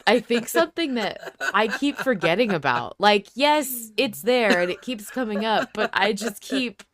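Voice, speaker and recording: A woman's voice: mid-range voice, expressive, moderate pace, a bit bright, dry recording. A woman hosting a podcast in a casual, enthusiastic conversational style. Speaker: podcast host. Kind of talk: casual podcast discussion with an introduction.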